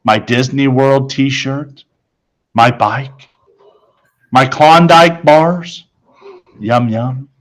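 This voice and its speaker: Slow-paced man reading a children's story. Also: a little high, low-quality recording. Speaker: storybook reader. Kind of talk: scripted first-person narration of a children's novel.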